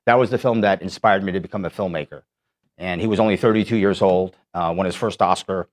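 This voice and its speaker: Low-voiced chatty filmmaker from Manhattan. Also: male voice, quick pace. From Manhattan, New York. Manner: chatty, storytelling, laughs easily. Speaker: guest documentary filmmaker. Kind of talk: casual studio interview. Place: radio-style studio.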